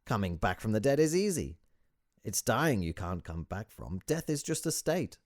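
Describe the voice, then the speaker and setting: A man voicing a mischievous, falsely modest young hermit sage in an audiobook, with a theatrical, gleeful sales-pitch manner. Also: sing-song, moderate pace, darkish tone, dry recording, clean studio sound. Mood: gleeful, insistent.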